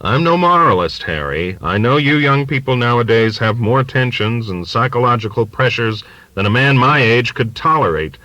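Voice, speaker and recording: An old working-class father , acted out by a male audiobook reader, talks in a forceful, folksy ramble. He is bigoted and confiding, leaning hard on his points in a sharp-edged voice. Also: mid-range voice, lively intonation, normal speed, no room sound, pitched above the performer's own voice, poor audio. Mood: confiding, agitated. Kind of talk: audiobook character dialogue.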